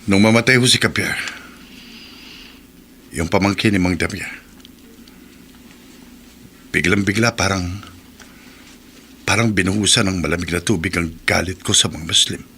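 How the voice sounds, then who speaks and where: A man's voice: deep voice, moderate pace, long pauses, pushed and bright, a little hiss or hum. An actor playing a troubled soldier son in a radio drama, earnest and respectful as he speaks of his doubts. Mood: grieving. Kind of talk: Tagalog radio drama acting.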